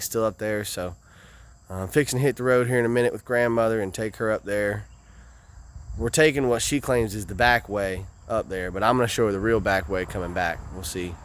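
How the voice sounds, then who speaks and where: A man's voice: medium pitch, a bit bright. A man with a Southern US accent, a traveling musician chatting in an easygoing, rambling way for his video diary. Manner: easygoing, rambling with small restarts.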